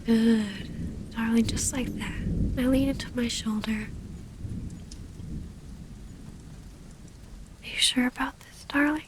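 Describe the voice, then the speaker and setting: A woman playing a devoted, gently predatory vampire lover in a soothing, breathy half-whisper for an intimate ASMR roleplay. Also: on the high side, fairly even intonation, normal speed, close to an everyday voice. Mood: hesitant, tender. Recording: close-up with rain ambience, faint noise.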